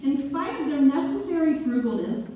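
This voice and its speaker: A woman's voice: sits high, animated, moderate pace, warm tone. A woman playing a genteel author who fondly recalls her childhood in a dramatic stage read-through. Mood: grateful, reflective. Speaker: community theater actor. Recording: echoey room, distant mic, faint noise.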